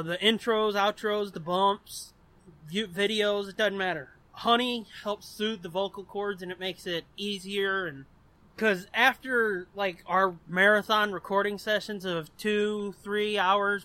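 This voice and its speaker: High-pitched, bright-voiced sweary man. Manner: sweary, joking, blunt. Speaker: podcast co-host. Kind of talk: casual banter, answering listener questions.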